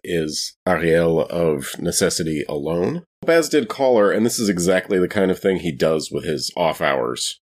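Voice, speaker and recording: A man's voice: low voice, lively intonation, moderate pace, hardly any pauses. A man playing a tabletop roleplaying game, casually describing his character's actions in wry, wordy phrasing.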